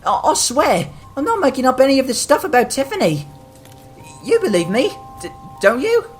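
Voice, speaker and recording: Woman voicing a high-pitched, anxious young boy tank engine. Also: sing-song, moderate pace, faint music behind. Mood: uneasy, pleading. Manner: stammering, pleading. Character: frightened young male tank engine, earnest and worried. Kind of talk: amateur audio drama acting.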